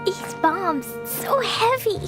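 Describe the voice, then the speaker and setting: Casting: mischievous little girl for a video game, tiny sky-high voice, lively singsong delivery, music underneath. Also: slow pace, exaggerated voice. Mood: weary, straining. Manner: childlike, singsong, speaks of herself in third person. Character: cheerful, mischievous little girl who loves explosions. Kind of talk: video game character voice lines. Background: background music.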